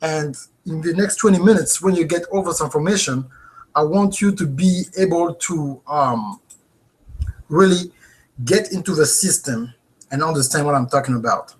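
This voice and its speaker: A man's voice: a little high, moderate pace, low-quality recording. French-accented man teaching a live webinar. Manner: earnest, animated, repeats "okay?" to viewers. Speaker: host. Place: home office with bookshelf. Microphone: webcam.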